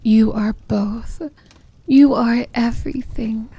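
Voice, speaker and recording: Breathy, slow-speaking evil princess, played by a woman. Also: a little high, fairly even intonation, dark tone, no echo. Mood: adoring, intense. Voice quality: breathy, often whispering. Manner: slow, intimate, commanding yet tender. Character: powerful possessive demon princess, tender and in love. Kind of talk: romantic fantasy ASMR roleplay.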